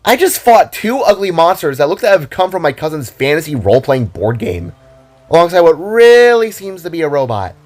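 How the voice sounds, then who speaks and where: A man voicing a goofy, excitable, chatty young girl adventurer with playful, rambling speech in an audio drama. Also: on the high side, fairly quick, soft background music, voice pushed up. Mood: curious, sarcastic. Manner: playful, sarcastic, rambling with false starts.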